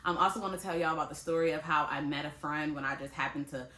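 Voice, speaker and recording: A woman's voice: sits low, quick pace, sharp tone. A woman in her late twenties talking casually and chattily to her viewers, addressing them directly and laughing easily. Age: 20s. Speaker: vlogger. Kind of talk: casual talk to camera. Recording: echoey room.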